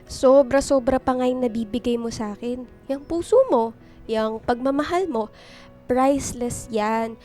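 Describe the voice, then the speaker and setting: An actress playing an affectionate, reassuring young girlfriend in a radio drama, with a sweet, expressive delivery. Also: a little high, measured pace, natural voice. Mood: reassuring, tender. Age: young adult. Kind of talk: Filipino radio drama dialogue. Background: background music.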